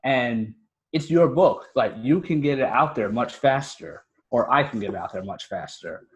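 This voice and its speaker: A man's voice: mid-range voice, moderate pace. American man, earnest and informal. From United States. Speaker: podcast guest, fiction writer and podcaster. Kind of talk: casual interview talk.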